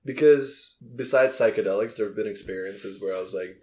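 A man's voice: fairly low pitch, fairly quick, darkish tone. A man from Moldova whose first language is Russian, chatting casually in an easygoing, thoughtful, agreeable way. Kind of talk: casual conversation.

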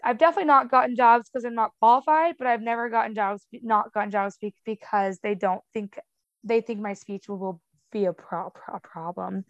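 A woman's voice: on the high side, fairly even intonation, a little fast, no room sound, muffled recording. Young woman who stutters, dry sound. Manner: stutters with repeated sounds and blocks; frank and chatty, many fillers. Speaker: podcast guest. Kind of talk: casual conversational interview.

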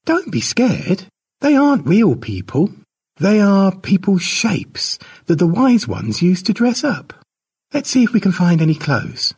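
A man's voice: high voice, huge pitch swings, unhurried, a little mellow, husky, voice pushed up, full character voice. A man voicing a protective, motherly woman of a primitive clan in a storybook narration. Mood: reassuring.

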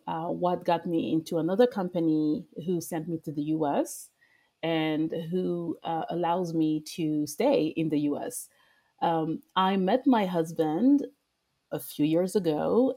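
Guest, woman in her thirties, French accent, darker lower tone, hesitant. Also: warm tone. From France. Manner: hesitant, frequent fillers and restarts. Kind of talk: podcast interview.

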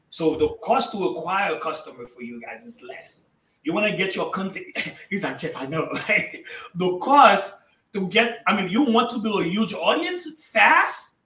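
Energetic bright-voiced man, echoey and distant. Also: on the high side. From Haiti. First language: Haitian Creole. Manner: energetic, emphatic, addresses listeners as guys. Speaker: guest entrepreneur. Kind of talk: motivational interview talk.

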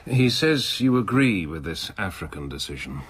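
A man's voice: low-pitched, very animated, moderate pace, slight background noise. An actor playing an unruffled senior male surgeon in a radio drama. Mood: puzzled, probing. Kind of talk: British radio drama acting.

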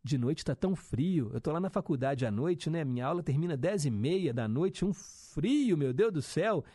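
A man's voice: on the high side, lively intonation, moderate pace, warm tone, breathy edge, no room sound, studio quality. A young male radio host, chatting casually and reading listener messages with upbeat teasing asides.

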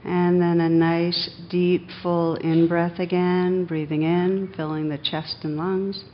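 A woman meditation teacher leading a guided meditation in a gentle, unhurried manner with long pauses, on a dull recording. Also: flat delivery. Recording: dull, muffled sound with faint rumbling noise.

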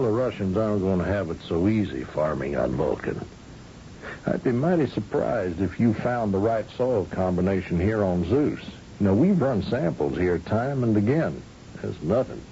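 A muffled old radio drama recording with faint noise carries a genial old frontier governor of a space colony. He is wry and folksy, rambling along in a dark, mellow voice like a cowboy. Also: fairly low pitch, very animated, moderate pace.

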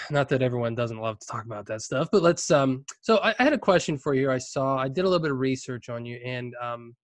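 A young man hosting a podcast, chatting in a relaxed way with lots of fillers, often trailing off quietly. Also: mid-range voice, fairly quick, no echo. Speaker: podcast host. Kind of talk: casual interview chat.

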